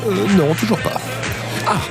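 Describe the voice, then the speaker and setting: A man's voice: high voice, measured pace. A man playing a vain, oblivious former president and smug charmer in an audio comedy, theatrical and unctuous. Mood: oblivious, courteous. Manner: theatrical, unctuous, hesitant hums. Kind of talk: audio fiction comedy acting. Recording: music behind the voice.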